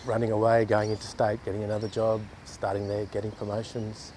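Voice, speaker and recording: A man's voice: lowish voice, monotone, a little fast, a little mellow, slight background noise. A man with an Australian accent, speaking reflectively in a documentary interview.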